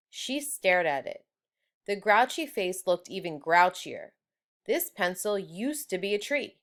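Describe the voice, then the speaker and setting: A woman reading a children's story aloud in a deliberate, expressive storyteller's manner. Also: medium pitch. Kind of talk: children's book read-aloud.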